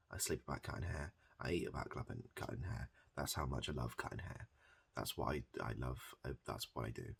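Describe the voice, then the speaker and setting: A man with a very deep, creaky and husky voice performs improvised ASMR comedy as a hairdresser. He speaks softly and deadpan. His delivery rambles, halts and circles back on itself. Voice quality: gravelly, husky low voice. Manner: rambling, deadpan, halting and repetitive.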